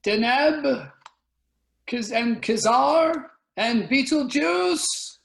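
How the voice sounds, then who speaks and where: A woman playing an ancient, formal council clerk calling a roll with drawn-out ceremony in a dramatic reading over a video call. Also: sits high, animated, creaky, roomy sound, exaggerated voice.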